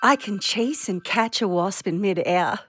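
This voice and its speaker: An actress playing a small, proud, watchful songbird narrating in an audio play, describing things with simple wonder. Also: female voice, mid-range voice, unhurried, fairly bright tone, no echo, clean studio sound, faint music behind. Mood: proud, observant. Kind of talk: audio drama monologue.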